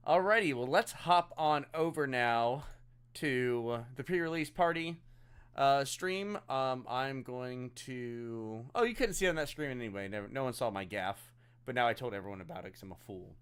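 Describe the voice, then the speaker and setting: A man's voice: medium pitch, lively intonation, moderate pace, fairly bright tone, clean tone. A man chatting casually and self-deprecatingly as he hosts a live stream over voice chat. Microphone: voice chat on a computer.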